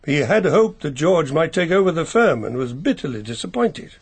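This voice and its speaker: An actor playing a discreet family solicitor with an English accent, speaking in a measured, polite, reserved way. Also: male voice, a little high, big pitch swings, gravelly, husky, heavily acted. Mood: polite, careful. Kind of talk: radio mystery drama acting.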